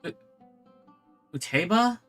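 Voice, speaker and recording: A woman's voice: on the low side, expressive, slow, a bit bright. A woman streaming a video game, giving casual commentary and reading in-game text aloud. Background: game music.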